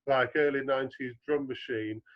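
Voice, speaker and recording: A man with a low baritone voice, a guest author in a podcast interview, explaining at length with homely comparisons. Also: fairly even intonation, moderate pace, muffled recording.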